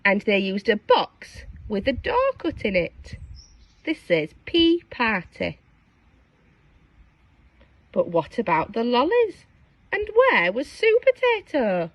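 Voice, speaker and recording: A woman reading a storybook aloud to young children, dramatic and expressive, engaging her listeners with questions. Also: a little high, takes it slowly, long pauses, no room sound, muffled recording. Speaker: storybook reader.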